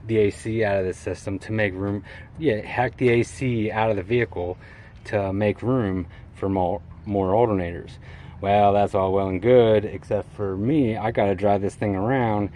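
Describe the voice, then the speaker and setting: A man's voice: on the low side, a little mellow, slight background noise. A man giving a casual, unscripted walkaround of his vehicle in a folksy, colloquial way with hobbyist slang. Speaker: vlogger showing his vehicle build.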